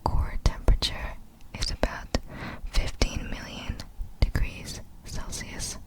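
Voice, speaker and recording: A woman speaks entirely in a breathy whisper, in the style of an ASMR creator. Her pace is very slow, with soft, measured phrases set apart by small pauses as she reads out facts.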